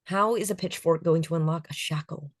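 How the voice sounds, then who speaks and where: A woman's voice: low-pitched, a little fast, dry recording, studio quality. A woman playing an impatient young beauty queen held captive, in a horror screenplay table read. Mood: impatient, puzzled.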